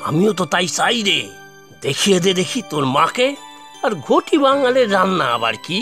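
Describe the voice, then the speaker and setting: A man's voice: high voice, very animated, moderate pace, exaggerated voice. A man voicing a kindly, encouraging older father-in-law in a family cartoon, coaxing and reassuring. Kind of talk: animated family cartoon dialogue. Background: soft background music.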